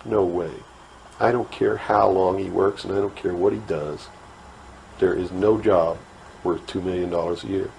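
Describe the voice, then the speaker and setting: An American man lectures with conviction in a low voice. He leans on rhetorical questions and repeats words for emphasis. There is faint noise on the recording. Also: steady tone, normal speed, gravelly, darkish tone. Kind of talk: impassioned lecture. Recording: noisy old talk recording.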